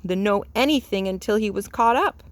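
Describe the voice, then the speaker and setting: A woman's voice: mid-range voice, fairly quick, dry recording. A woman reading a children's storybook aloud as narrator. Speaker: storybook reader.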